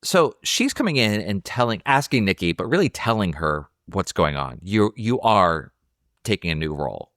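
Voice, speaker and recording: Male podcast co-host, lowish pitch, dry sound, tentative and thoughtful conversational delivery. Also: big pitch swings, normal speed, fairly bright tone, dry recording. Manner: thoughtful, tentative, self-interrupting. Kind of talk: casual film discussion.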